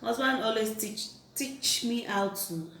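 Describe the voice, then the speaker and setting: A woman from Nigeria chatting casually with her husband on their channel. She has a naturally loud voice that she keeps low, and she talks in restarts and fillers. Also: unhurried, recorded from a distance, slight background noise. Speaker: vlogger co-host. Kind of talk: casual couple chat. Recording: roomy echo, off-mic.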